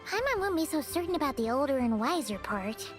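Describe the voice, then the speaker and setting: A woman voicing a small, cutesy, childlike fairy companion in a video game, with a squeaky voice. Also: very animated, brisk. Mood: skeptical. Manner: exaggerated, cutesy. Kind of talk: video game character acting. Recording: loud game music behind.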